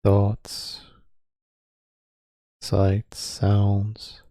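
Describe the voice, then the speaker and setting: A man leading a guided meditation, speaking calmly in sparse phrases with long pauses between them. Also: on the low side, no room sound, studio quality.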